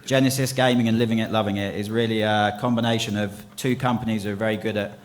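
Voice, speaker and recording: A man presenting product features at a conference, brisk with frequent ums. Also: fairly low pitch, steady tone. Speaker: company representative presenting at a conference. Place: conference hall.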